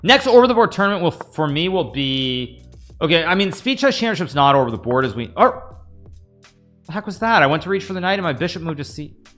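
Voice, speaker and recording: A man's voice: fairly high pitch, very animated, a little fast, a bit bright, soft background music. An American man in his thirties, streaming a chess game, thinking aloud and answering chat questions in a casual, rambling way. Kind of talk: casual live-stream commentary.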